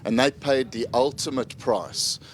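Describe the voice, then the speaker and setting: A man giving a live interview, recounting a family history in a steady, solemn way. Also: medium pitch, measured pace. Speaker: interview guest.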